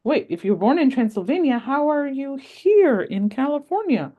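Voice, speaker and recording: A woman voicing a curious, excited six-year-old girl in a read-aloud story, asking question after question. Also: moderate pace, narrow, tinny audio. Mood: eager. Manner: high-pitched, eager questioning.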